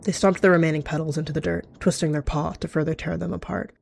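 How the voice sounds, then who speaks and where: A storyteller narrating a game roleplay. The voice sits at a middle pitch and has a noticeable creak. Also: steady tone, fast, slight background noise.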